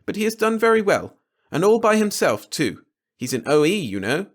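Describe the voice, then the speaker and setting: A man's voice: high voice, very animated, moderate pace, voice pushed up, exaggerated voice. A man voicing a spoiled, theatrical young woman of nearly twenty in a storybook reading. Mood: indignant, pleading. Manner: breezy, theatrical.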